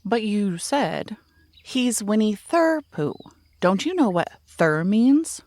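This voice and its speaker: A woman's voice: mid-range voice, big pitch swings, slow pace, a little mellow, no room sound. A woman voicing an eager young boy in a storybook, childlike and sweetly coaxing with his questions. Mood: curious, coaxing.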